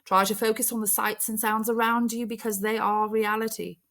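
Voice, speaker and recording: A woman reads as a kindly male doctor in a storybook, counselling a child. The delivery is patient and earnest, with a lifted pitch and gentle, advising phrasing. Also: level tone, normal speed. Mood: earnest consoling. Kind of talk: storybook character voice.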